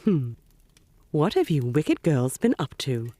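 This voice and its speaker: A woman's voice: low voice, sing-song, slow, mellow, no room sound, full character voice. A woman playing a sweetly doting, knowing witch matriarch in an audio drama, theatrical and full of honeyed endearments. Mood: slyly probing.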